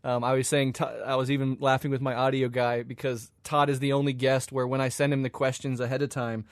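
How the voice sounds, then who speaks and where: A man's voice: medium pitch, little pitch movement, fairly quick, no room sound, clean studio sound. A man with an American accent hosting a podcast interview, chatty and eager, laughing easily between his questions. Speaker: podcast host. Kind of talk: casual interview chat.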